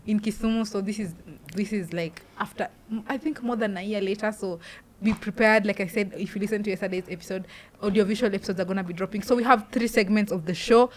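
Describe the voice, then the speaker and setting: A Kenyan woman hosting a podcast, with a loud voice and a loud laugh, talking casually and rambling with many restarts. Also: medium pitch, steady tone, quick pace, few pauses, slight background noise. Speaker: podcast host. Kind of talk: casual interview chat.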